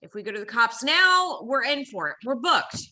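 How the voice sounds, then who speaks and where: A woman's voice: on the high side, very animated, moderate pace, sharp tone, full character voice. A woman playing an anxious but practical female friend, earnest and hesitant, in a comic script reading. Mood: resolute. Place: remote video call.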